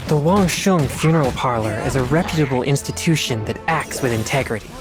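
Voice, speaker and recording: A man's voice: medium pitch, animated, lightly acted. An actor playing an earnest, disciplined teenage boy exorcist in a video game, speaking formally in a youthful voice. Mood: indignant. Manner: formal, measured, earnest delivery. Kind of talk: scripted video game character dialogue. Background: music.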